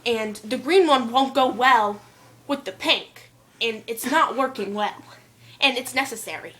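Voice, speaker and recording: A woman's voice: on the high side, measured pace, fairly bright tone, a little hiss or hum. Young woman rambling fake tech babble. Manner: rambling nonsense with fake technical words. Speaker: prank caller. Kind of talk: prank phone call. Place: bedroom, indoors. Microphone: phone on speaker held in hand.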